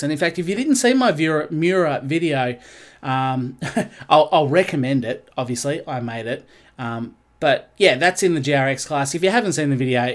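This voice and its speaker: A man commentating casually while he streams a racing game, stuffed up and nasal, rambling and greeting his viewers as he goes. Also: mid-range voice. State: congested, nasal.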